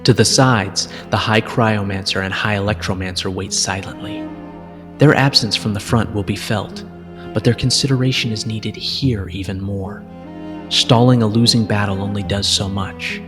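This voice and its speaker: Male narrator, low register, solemn and measured dramatic story reading, music clearly underneath. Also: fairly even intonation. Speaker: narrator reading fan fiction.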